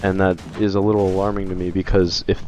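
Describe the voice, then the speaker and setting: Young guy, deep voice, kinda hoarse from being sick, rambly game-rant vlog. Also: flat delivery, quick pace, darkish tone, a little hiss or hum. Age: young adult. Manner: rambling, hesitant, many restarts. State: hoarse from illness. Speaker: video game commentator. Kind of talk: casual opinion vlog.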